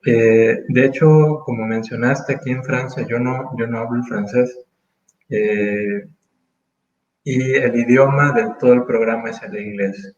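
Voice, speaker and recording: A man in his thirties from Mexico, speaking as an interview guest in casual conversation, thoughtful and full of pauses and restarts. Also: mid-range voice, steady tone, reverberant, highs cut off. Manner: thoughtful, hesitant, many fillers and restarts.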